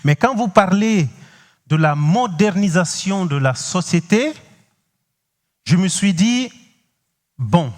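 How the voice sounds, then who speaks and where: A man from Cape Verde with a Cape Verdean accent, French not his first language, preaching as a guest pastor, halting with many pauses and speaking straight to the congregation. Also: high voice, expressive, long reverb tail. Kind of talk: sermon. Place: large church hall.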